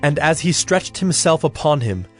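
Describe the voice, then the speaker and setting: A man reading scripted Bible narration in a measured, solemn manner. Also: a little high, very animated, soft background music.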